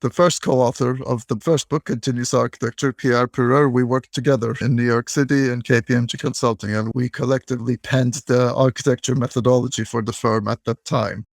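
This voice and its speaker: A middle-aged man from Turkey, a guest in an interview, explaining his career in a measured storytelling way. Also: medium pitch, steady tone, vocal fry, dry recording. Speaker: guest, bank technology executive. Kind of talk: interview answers.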